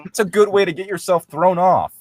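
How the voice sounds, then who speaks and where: Sarcastic man, higher-pitched, dry sound. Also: brisk, no echo. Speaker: co-host commenting on a story reading. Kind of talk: casual mocking banter.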